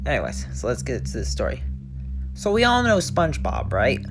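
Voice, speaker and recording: A man reads a story aloud as the host of a narration show. His delivery is informal, with small stumbles and self-corrections. Music plays clearly behind his voice. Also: mid-range voice, wildly swooping pitch, moderate pace. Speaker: host and reader of creepypasta episodes.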